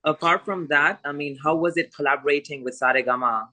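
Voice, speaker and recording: A man's voice: fairly high pitch, brisk, sharp tone. A man who grew up in Spain, interviewing guests in a friendly, enthusiastic way with plenty of fillers. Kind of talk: casual interview.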